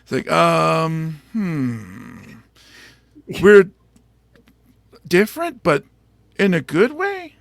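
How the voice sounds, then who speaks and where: Gravelly, lively-pitched male comedy host. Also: no echo. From United States. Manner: playful, self-teasing, halting with fillers. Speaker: podcast host. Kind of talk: casual interview chat.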